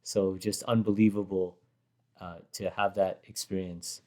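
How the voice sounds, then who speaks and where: A hoarse man chatting casually, rambling and correcting himself as he recalls a performance. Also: deep voice, level tone, unhurried, darkish tone. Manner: rambling, self-correcting storyteller. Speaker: rapper reacting to his own performance. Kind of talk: casual chat.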